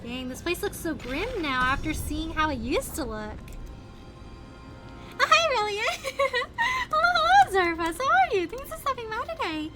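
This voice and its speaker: A woman giving casual livestream commentary on a video game in an animated manner. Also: very high-pitched, normal speed. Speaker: livestream host. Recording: game music under the voice. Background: video game music.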